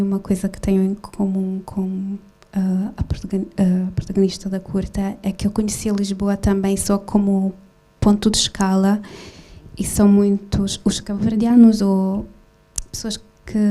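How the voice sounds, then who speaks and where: A woman's voice: mid-range voice, warm tone. A woman raised in Switzerland, a film director answering a festival question in a hesitant way with many fillers and restarts. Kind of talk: festival Q&A talk.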